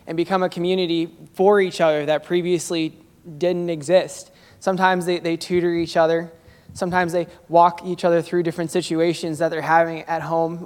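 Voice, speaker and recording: Male pastor, higher pitch, smooth and resonant, flat steady delivery, church hall echo. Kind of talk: church announcement and introduction. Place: church sanctuary.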